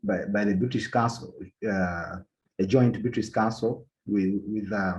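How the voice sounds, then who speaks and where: A man from Uganda giving an academic policy talk as a panel speaker, halting often and repeating words as he restarts his sentences. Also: fairly low pitch. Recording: dull lo-fi sound.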